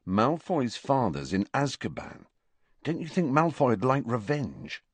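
A man's voice: mid-range voice, big pitch swings, measured pace, husky, dry recording, voice pushed up. A man voicing an earnest, stubborn teenage boy wizard in an audiobook, insistent and emphatic. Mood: frustrated, urgent.

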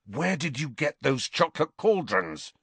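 A man's voice: on the high side, very animated, a little slow, a bit bright, husky, no room sound, voice pushed up, exaggerated voice. A man voicing a sensible teenage wizard boy in an audiobook, bewildered, impatient and incredulous. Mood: alarmed. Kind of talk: audiobook character voice.

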